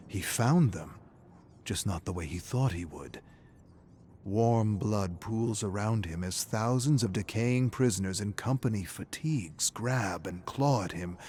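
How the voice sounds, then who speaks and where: A man's voice: fairly low pitch, darkish tone. A man narrating a scripted horror story in a dramatic style, with noise behind him.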